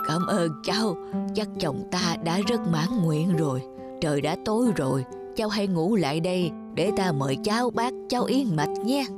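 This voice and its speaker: A woman's voice: lowish voice, expressive, moderate pace, close to an everyday voice. Woman voicing a scheming old demon crone. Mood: coaxing. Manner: coaxing, scheming. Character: old demon woman, wheedling then menacing. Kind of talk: narrated cartoon fairy tale. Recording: music behind the voice.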